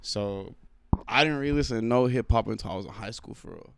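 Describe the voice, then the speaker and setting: Gravelly, smooth-voiced young man. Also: medium pitch, quick pace, no room sound. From South Africa, raised in London. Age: 20s. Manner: laid-back, lots of "like" and "you know what I'm saying". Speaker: rapper guest. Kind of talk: casual interview chat.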